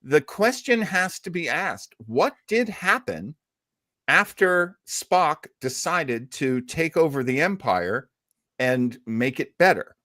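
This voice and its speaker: A man's voice: fairly high pitch, big pitch swings, slow, sharp tone, no room sound, clean studio sound. A man hosting a casual panel chat on a podcast. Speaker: podcast host.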